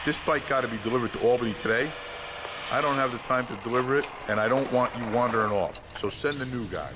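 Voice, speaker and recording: A demanding motorcycle shop boss acted by a man in a game. He is curt and bossy, rattling out his words quickly over clear vehicle noise. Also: mid-range voice, close to an everyday voice. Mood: stern, bossy.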